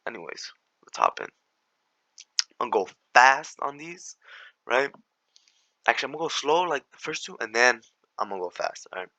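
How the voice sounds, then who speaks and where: Raspy laid-back young male tutor. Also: medium pitch, animated, moderate pace, long pauses, bright, forceful tone, no echo. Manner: slangy, laid-back, apologetic. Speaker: math tutor. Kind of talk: casual tutoring walkthrough.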